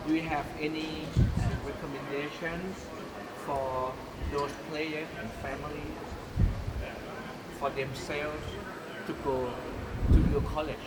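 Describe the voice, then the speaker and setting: A man interviewing a coach, asking slow, halting questions with many fillers. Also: a little high, steady tone. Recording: distant mic with loud noise.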